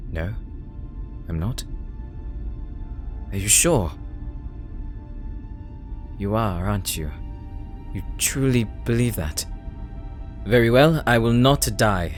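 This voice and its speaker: Man acting a slow, anxious young paladin pilot. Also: on the low side. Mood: fearful, faltering. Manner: hesitant, stammering, formal wording. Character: anxious, earnest young paladin pilot, proud but insecure. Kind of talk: audio roleplay acting.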